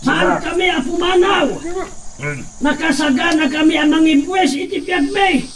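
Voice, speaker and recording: A man's voice: extremely high pitch, steady tone, unhurried. A man playing an aggrieved, defiant elderly farm worker in an Ilocano radio drama, pleading his case with an Ilocano accent. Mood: indignant. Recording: distant mic, noisy crowd behind.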